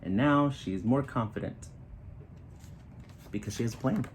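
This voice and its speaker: A man reading a children's picture book aloud in an expressive storytelling style, with short explanatory asides. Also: mid-range voice, unhurried, a little hiss or hum. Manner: expressive, acting out children's dialogue.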